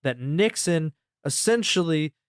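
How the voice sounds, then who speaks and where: A man's voice: fairly high pitch, lively intonation, measured pace, clear, resonant tone, no echo, clean studio sound. A man co-hosting a podcast, recapping a story in a rambling, casual way and swearing freely. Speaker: podcast co-host.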